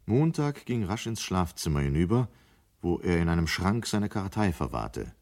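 A man's voice: low voice, sing-song, normal speed, darkish tone. A man narrating a 1970s German radio drama as a sober storyteller.

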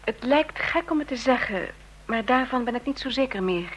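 A woman playing a gentle, sympathetic young employee of an intelligence bureau in a radio drama, speaking softly and with some hesitation. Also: fairly high pitch, expressive, moderate pace, dull audio. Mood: pensive, concerned. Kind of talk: Dutch radio drama acting.

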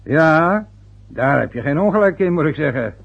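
Casual: sixty-year-old dad in a radio play, husky, drifts off mid-thought, phone-thin recording. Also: male voice, on the high side, lively intonation, moderate pace, phone-quality sound, exaggerated voice. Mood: cheerful. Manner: rambling, loses his thread. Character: rambling, fretful aging father turning sixty.

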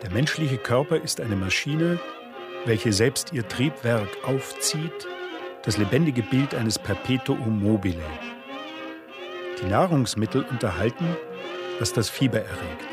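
Man, scripted literary radio narration, measured delivery, mid-range voice, musical backing. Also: medium pitch, expressive, music in the background.

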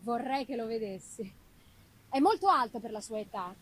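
Woman reading as a high-voiced, tender young gentlewoman. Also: rough recording. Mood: fond. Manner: expressive, unhurried dramatic delivery with pauses. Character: young affectionate gentlewoman writing an anxious, tender letter. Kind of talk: theatrical literary reading. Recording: live music behind the voice.

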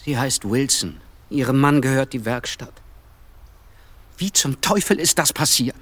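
A man's voice: mid-range voice, measured pace, fairly bright tone, no room sound. A weary, reserved young man in a radio drama. He is terse and wary but decent, recorded very dry with no room around him. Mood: wary, questioning.

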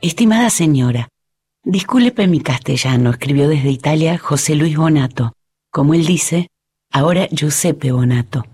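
A woman reading a listener's letter aloud on the radio in a measured, clear way. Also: sits low, expressive, a little mellow.

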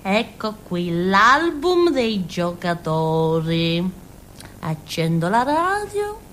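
An actress playing a naive, giggly village outcast woman with a Calabrian accent, in a halting, repetitive theatrical monologue. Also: medium pitch, big pitch swings, fairly bright tone, slight background noise, exaggerated voice. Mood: childlike excitement. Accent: southern Italian, Calabrian.

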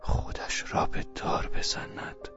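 A man's voice: lowish voice, faint music behind. A man playing an anxious, agitated nobleman. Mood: brooding. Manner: rising, excited outbursts and hushed whispers. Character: agitated middle-aged nobleman, anxious and brooding. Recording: dry, slightly dull recording.

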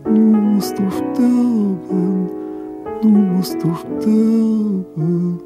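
A woman performing a solemn, lyrical voice in a radio drama, singing in slow, drawn-out phrases. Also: mid-range voice, fairly even intonation, music in the background. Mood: mournful. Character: solemn, lyrical female voice singing in a dying man's mind.

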